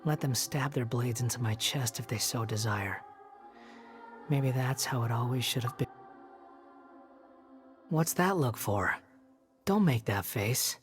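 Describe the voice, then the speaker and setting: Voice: mid-range voice, expressive, measured pace, long pauses, natural voice. An actor plays a sardonic young male wanderer in a game cutscene. He is aloof and curt, proud and brooding, with dry delivery over faint music. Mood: resigned. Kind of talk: video game cutscene acting.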